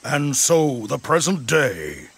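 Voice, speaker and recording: An actor playing a wise, reflective old warrior in a video game cutscene, speaking deliberately and philosophically. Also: male voice, mid-range voice, big pitch swings, a bit bright, slightly rough, full character voice.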